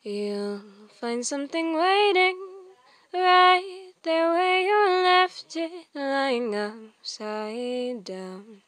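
High-voiced woman singing a slow lullaby. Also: a bit bright, no echo.

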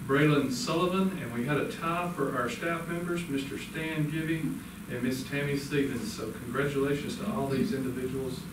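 A man's voice: medium pitch, fairly even intonation, normal speed, hardly any pauses. A man formally reading out award winners at a school board meeting. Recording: echoey room from far off, noisy.

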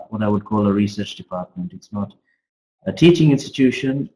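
A middle-aged man gives an informal academic presentation as a finance professor, speaking haltingly with frequent pauses. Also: lowish voice. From Australia. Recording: roomy, echoey webcam audio.

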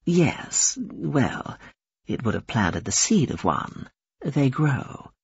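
A man voicing a knowing, musing, unhurried virtual woman in an audiobook. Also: mid-range voice, big pitch swings, creaky, husky, no echo, dull audio, exaggerated voice. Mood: explaining. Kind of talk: audiobook character dialogue.

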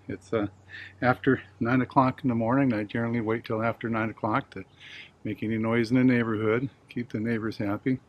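A man's voice: mid-range voice, gravelly, dull audio. An older man, around his sixties, chatting casually to camera in a folksy, rambling, easygoing way as he hosts a hobby video.

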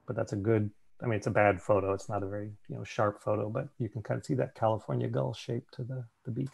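A man's voice: fairly low pitch, level tone, quick pace, creaky, a little mellow, husky. A man casually presenting a slideshow on a video call. He thinks aloud, with fillers and restarts. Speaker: meeting presenter.

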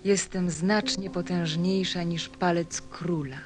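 A woman's voice: on the low side, fairly even intonation, slow pace. A woman playing a cryptic, powerful desert snake in a radio play, speaking enigmatically. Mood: ominous, pitying. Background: music.

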